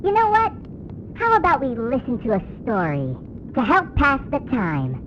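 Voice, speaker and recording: A woman's voice: sits high, huge pitch swings, normal speed, muffled recording, noisy background. A woman playing a cheerful, playful and bossy children's show host in an analog horror cartoon, with a sing-song, exaggerated delivery. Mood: playful, curious.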